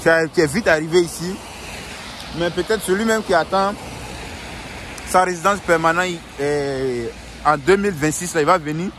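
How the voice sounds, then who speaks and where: A man's voice: a little high, normal speed, long pauses, fairly bright tone, slight background noise. A young man giving earnest, repetitive advice in a casual monologue, often restarting his sentences.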